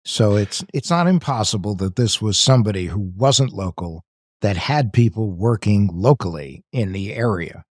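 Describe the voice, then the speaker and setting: A man's voice: fairly low pitch, lively intonation, moderate pace, no echo, clean studio sound. A man co-hosting a podcast, talking through questions and analysis in a conversational way. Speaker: podcast co-host.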